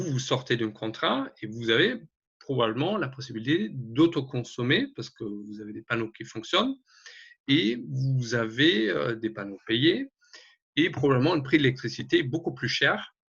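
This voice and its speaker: A man with a German accent, a native German speaker, explaining slides haltingly as an advisor in an online webinar, often correcting himself. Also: mid-range voice, very animated, vocal fry, fairly bright tone, breathy edge. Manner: halting, many hesitations and self-corrections. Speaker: energy advisor presenting a webinar. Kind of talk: explanatory slide presentation, semi-spontaneous. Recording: dull, lo-fi webinar audio.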